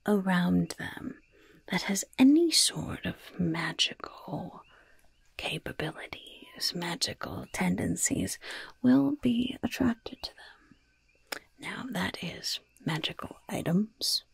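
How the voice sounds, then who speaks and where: A woman voicing a gleeful, mischievous goblin shopkeeper in a breathy, raspy whisper, slowly and slyly savoring every curse and poison in an ASMR roleplay. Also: lowish voice, big pitch swings, no room sound, full character voice. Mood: sly, confiding. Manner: slow, savoring, slyly polite.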